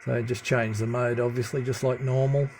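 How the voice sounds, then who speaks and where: A man giving a casual hands-on demonstration as a radio hobbyist, with a low-key, halting delivery. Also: flat delivery, gravelly, a little mellow. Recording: noisy room with radio static. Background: CB radio chatter and static.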